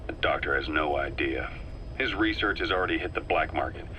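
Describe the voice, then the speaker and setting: An actor playing a serious, analytical military intelligence man in a video game, grave and deliberate. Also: extremely low pitch, expressive, pushed and bright, slight background noise, heavily acted. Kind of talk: video game briefing dialogue.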